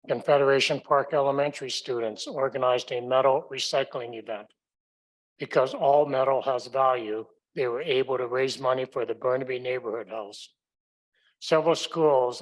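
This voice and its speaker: A man reads a scripted news roundup as a school trustee. His voice sits at an ordinary middle pitch, and his delivery is formal and measured. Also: rough recording.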